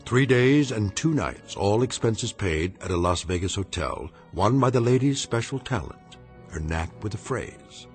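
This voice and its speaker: An actor playing a grave, knowing narrator of eerie tales in a radio drama, measured and portentous. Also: male voice, lowish voice, animated. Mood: wry, knowing. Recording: prominent music behind the narration.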